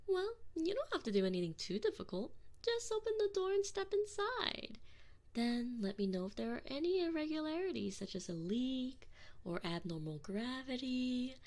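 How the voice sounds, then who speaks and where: A woman's voice: high voice, sing-song, a little mellow. A woman playing a sadistic, mock-polite alien enforcer in an ASMR roleplay, taunting sweetly and possessively into a close binaural microphone. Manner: slow, mock-sweet, taunting, often dropping into breathy whispers.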